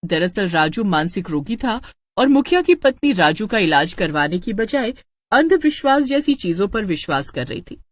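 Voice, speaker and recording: A woman's voice: medium pitch, very animated, normal speed, no echo. A woman storyteller reading scripted narration for a cartoon tale.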